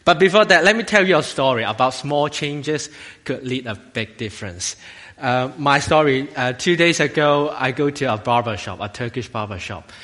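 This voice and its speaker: A man with a Hong Kong accent giving an informal, jokey, halting sermon as a lay minister to a church congregation. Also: medium pitch, lively intonation, fairly bright tone. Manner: halting, jokey, self-deprecating. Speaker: assistant lay minister preaching. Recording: long hall reverb.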